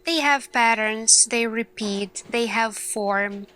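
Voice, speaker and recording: A woman playing an earnest lead scientist in an ASMR roleplay, speaking deliberately in short phrases with dramatic pauses. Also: a little high, bright, forceful tone. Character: earnest female lead scientist addressing her team. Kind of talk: slow ASMR roleplay monologue.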